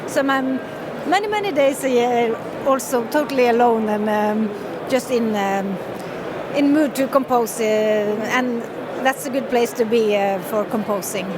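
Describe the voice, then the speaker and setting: A woman's voice: on the high side, unhurried, a crowd in the background, rough recording. Hesitant Norwegian woman in her fifties. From northern Norway. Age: 50s. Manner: hesitant, many fillers and restarts, laughs often. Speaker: fiddle player and composer. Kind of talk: casual interview. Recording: loud street noise behind.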